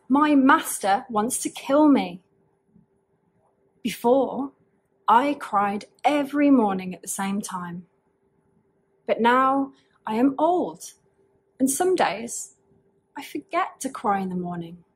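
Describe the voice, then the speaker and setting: A woman as a slow, husky old storybook chicken. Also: on the high side, expressive, heavily acted. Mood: sad. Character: old male chicken, sad but keen to sing. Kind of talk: storybook character reading.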